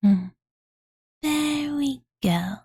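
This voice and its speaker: A woman voicing a playful, doting young dragon girl in soft, breathy ASMR whispers, with cutesy, ditzy phrasing, close to the microphone. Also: sits high, lively intonation, extremely slow, no echo, exaggerated voice. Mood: playful, cozy. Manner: ditzy valley-girl filler talk, cutesy third-person self-reference. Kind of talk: whispered ASMR roleplay bedtime storytelling. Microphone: close Blue Yeti condenser mic.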